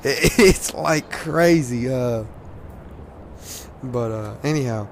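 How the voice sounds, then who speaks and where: A hoarse teenage man from Louisiana, rambling casually with lots of fillers and laughs while commenting on a game at a desk mic. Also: medium pitch, animated, drawn-out words, a little hiss or hum. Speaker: gaming commentator.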